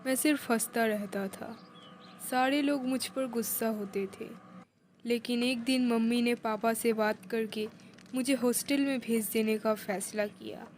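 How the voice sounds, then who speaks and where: Woman storyteller for a cartoon, high register, scripted first-person narration as a young man. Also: steady tone, a little slow, a little mellow, a little hiss or hum.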